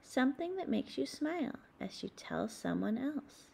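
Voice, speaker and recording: A woman reading aloud as a children's book host, in deliberate, expressive phrasing meant for young listeners. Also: high-pitched, creaky, slightly rough. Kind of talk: read-aloud storybook narration with discussion questions.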